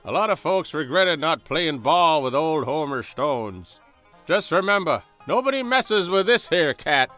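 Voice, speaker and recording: A man's voice: on the high side, sing-song, measured pace, fairly bright tone, faint music behind. A man voicing a crooked, bullying small-town deputy with folksy bluster in a horror radio play.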